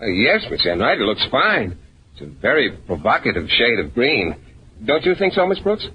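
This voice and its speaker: Earnest naive man, animated, old radio. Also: mid-range voice, moderate pace, slightly rough, full character voice. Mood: mild. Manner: earnest, boyish, polite. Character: mild, naive, earnest bashful male schoolteacher. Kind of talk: 1940s-50s radio sitcom acting. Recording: muffled, hissy old radio recording.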